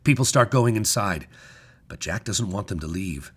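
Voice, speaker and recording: A man reads a scripted story as a narrator, in a measured, expressive storytelling manner with deliberate pauses. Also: lowish voice, breathy edge. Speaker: story reader.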